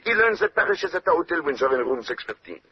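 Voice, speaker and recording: An actor playing a brilliant, self-assured Belgian detective with a French-speaking accent, speaking precisely and courteously in old-time radio drama style. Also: male voice, on the high side, big pitch swings, quick pace. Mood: confident, explanatory. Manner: precise, lecturing, punctuates points with questioning "eh?". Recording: dull, noisy old radio recording.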